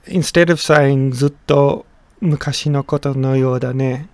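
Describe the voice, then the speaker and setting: A middle-aged man explaining his song translation in a casual, halting way, with many pauses and restarts. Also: mid-range voice, steady tone, a little mellow, dry recording. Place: small room indoors.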